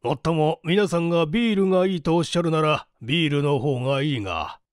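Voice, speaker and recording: A man's voice: on the high side, a little fast, no room sound, studio quality, close to an everyday voice. A man voicing a hearty, commanding but courteous landowner in a mystery audiobook. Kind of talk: audiobook character acting.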